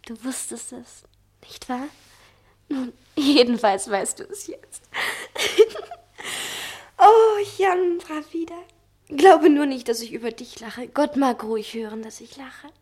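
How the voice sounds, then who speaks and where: A young woman playing a passionate, impetuous girl in love in a 1960 radio play, fervent, breathy and declamatory. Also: sits high, animated, takes it slowly, long pauses, darkish tone, exaggerated voice. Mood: exultant. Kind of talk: theatrical 1960 German radio play acting.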